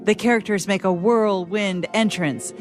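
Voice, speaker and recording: A woman's voice: mid-range voice, fairly even intonation, a little slow, over loud music. A woman radio player and narrator, speaking in plain talk and scripted narration. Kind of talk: casual talk and scripted stage-direction narration.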